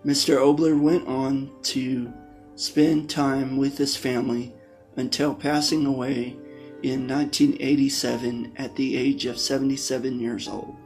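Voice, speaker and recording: A male host reads scripted narration in short, halting phrases. His voice is medium in pitch and very slow. Quiet plucked guitar plays underneath. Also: level tone, poor audio. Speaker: show host. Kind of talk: scripted narration introducing old radio plays. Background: plucked guitar music.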